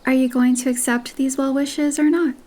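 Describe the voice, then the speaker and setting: A woman's voice: high-pitched, steady tone, normal speed, dark tone, lightly acted. A woman voicing a playful, tender young heroine who teases her beloved in romance game dialogue, with guitar music behind. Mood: earnest, tender. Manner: teasing, affectionate.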